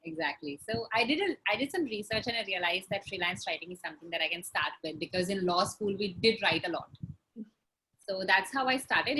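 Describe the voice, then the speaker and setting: A woman's voice: medium pitch, pushed and bright, slight background noise, low-quality recording. Chatty young woman with an Indian accent. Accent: Indian English. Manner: chatty, laughs between points, light fillers. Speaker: guest, blogger. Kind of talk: conversational interview answers.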